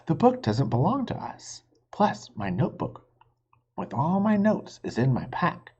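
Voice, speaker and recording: Man voicing an anxious young boy, pitched up. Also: huge pitch swings, unhurried, mellow, husky, muffled recording, heavily acted. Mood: worried. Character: anxious, earnest young boy in a children's adventure.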